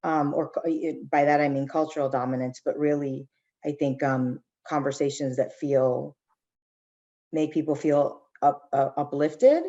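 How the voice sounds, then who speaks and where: A woman's voice: low voice, level tone, measured pace, dark tone, lo-fi sound. A woman speaking thoughtfully and earnestly as a panelist in a discussion, often checking in with a questioning tag. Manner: earnest, reflective, asks "right?" as she goes.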